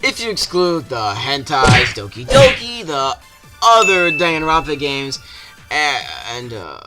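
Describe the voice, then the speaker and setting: High-pitched, animated male game reviewer. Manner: sarcastic, exaggerated delivery. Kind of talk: comedic scripted review narration. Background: music behind the voice.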